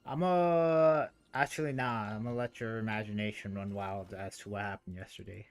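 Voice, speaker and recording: A man's voice: fairly low pitch, steady tone, normal speed. A man doing casual live game commentary, informal and muttering to himself as he thinks aloud. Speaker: game streamer.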